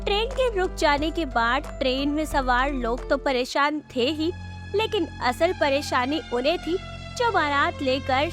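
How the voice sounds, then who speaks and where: A woman narrates a scripted moral story. Her voice is high-pitched, and music is clearly heard behind her.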